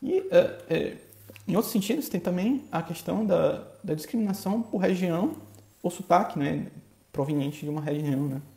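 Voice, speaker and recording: Bahian man with a gravelly voice. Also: high voice, dark tone. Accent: Bahian Brazilian Portuguese. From Bahia, Brazil. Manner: halting, with constant filler tags. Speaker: podcast host. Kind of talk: casual monologue. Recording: echoey room.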